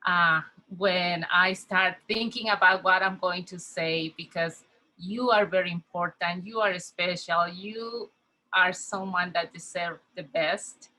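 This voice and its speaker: A middle-aged woman with a Spanish accent, a native Spanish speaker, giving a heartfelt welcome speech at a conference over a video call, slow and earnest with frequent pauses and fillers. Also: lowish voice, fairly even intonation, pushed and bright, low-quality recording. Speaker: conference speaker, community health worker.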